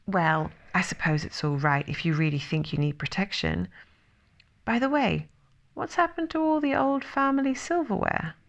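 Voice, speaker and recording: Woman as a brisk, needling storybook questioner. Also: medium pitch, expressive, vocal fry, dull audio. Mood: probing. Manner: pointed, probing questions.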